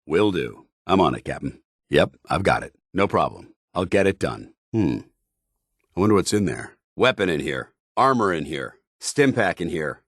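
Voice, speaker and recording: An American actor playing a stern, disciplined armored soldier in a video game, speaking in a formal, clipped, commanding way. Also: male voice, low-pitched, very animated, moderate pace, dry recording, studio quality. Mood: stern, measured, then calm, watchful. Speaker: video game companion character. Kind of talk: scripted game dialogue and combat barks.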